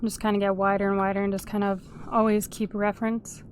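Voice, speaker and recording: A woman's voice: medium pitch, little pitch movement, brisk, slight background noise. A woman with a sniffly cold, casually commenting while she plays a game and humming little tunes to herself. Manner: chatty, hums and sings little tunes while playing. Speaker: gameplay video host. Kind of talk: casual let's-play commentary.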